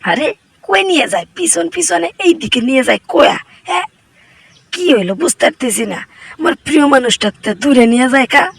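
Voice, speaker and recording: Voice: high-pitched, expressive, brisk, vocal fry, a bit bright, slightly rough, full character voice. A performer voicing a boastful, scheming, lovesick cartoon cat in an exclamatory comic dub, with a Barisal accent from Bangladesh. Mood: alarmed.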